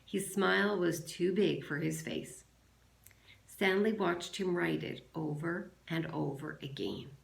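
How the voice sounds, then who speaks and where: Woman teacher doing a read-aloud, kinda low creaky voice, roomy sound. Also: slow. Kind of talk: classroom read-aloud of a children's novel.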